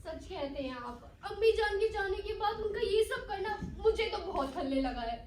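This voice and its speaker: An actress playing an anxious, lovestruck young daughter in a stage play, with earnest, formal theatrical phrasing. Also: female voice, very high voice, animated, moderate pace. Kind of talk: Hindi-Urdu stage play acting. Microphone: far from the actors. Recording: echoey hall, distant mic, faint noise.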